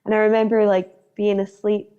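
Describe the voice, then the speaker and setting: A woman's voice: mid-range voice, little pitch movement, brisk, mellow, clear, resonant tone. Chatty woman telling set stories, lo-fi. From Northern Ireland. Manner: chatty, storytelling with fillers. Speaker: guest actor. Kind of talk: casual interview chat. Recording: dull lo-fi sound.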